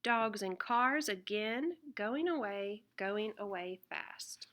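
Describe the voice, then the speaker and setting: A woman reading a children's picture book aloud in an expressive, deliberate way. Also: mid-range voice. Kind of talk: read-aloud children's storybook narration.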